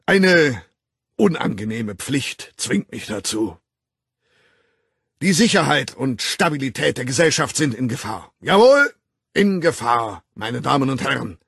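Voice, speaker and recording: A man reading an audiobook voices a pompous, authoritarian male director who denounces an employee in slow, loud, declamatory speech. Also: medium pitch, big pitch swings, creaky, husky, no room sound, clean studio sound, pitched above the performer's own voice, full character voice. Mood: declaiming. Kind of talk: audiobook character voice.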